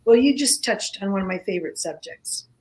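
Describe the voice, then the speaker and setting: A woman whose first language is Greek, speaking as a guest in a casual radio conversation, slow and halting with many pauses and restarts. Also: mid-range voice, lively intonation, a little mellow.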